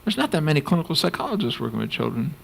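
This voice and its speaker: An older man, a clinical psychologist speaking on a conference panel, animated and laughing, putting on voices for emphasis. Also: fairly high pitch, very fast, vocal fry, slightly rough.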